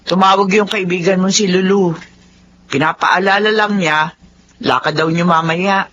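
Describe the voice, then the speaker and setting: A woman's voice: fairly low pitch, fairly even intonation, normal speed, fairly bright tone. A woman playing a concerned, disapproving mother in a Filipino radio drama, with a Filipino accent. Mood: motherly. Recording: dull, slightly noisy lo-fi recording.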